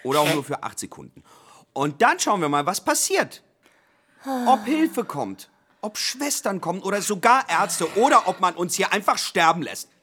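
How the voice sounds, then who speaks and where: An actress playing a restless, morbid patient who rouses and needles others in a radio play, theatrical and sardonic. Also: female voice, medium pitch, big pitch swings, normal speed, fairly bright tone, breathy edge. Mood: rousing. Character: restless, morbid ringleader among waiting emergency room patients. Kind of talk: German radio play acting.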